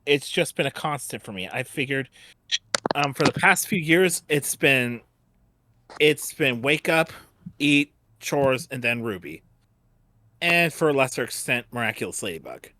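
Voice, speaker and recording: A man hosting a casual, unscripted fan panel, speaking haltingly and often restarting his phrases. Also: medium pitch, lively intonation, bright, forceful tone, poor audio. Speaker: panel host.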